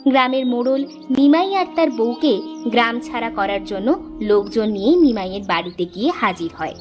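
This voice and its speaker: Female storyteller for a cartoon, even and clear, slightly high. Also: brisk, over music. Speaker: narrator. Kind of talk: scripted storybook narration.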